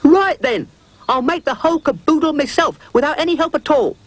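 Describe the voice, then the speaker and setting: A man voicing a pompous, excitable male dog in a storybook reading, with blustering exclamations and grand airs. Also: very high voice, fairly quick, pitched above the performer's own voice. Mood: huffy. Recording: music playing behind.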